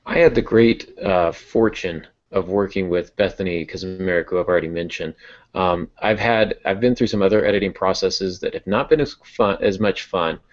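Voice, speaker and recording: A man with a deep voice chats casually as a podcast host. He feels his way through sentences and restates words as he goes. The recording is a bit dull and lo-fi. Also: normal speed. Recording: dull, muffled lo-fi audio.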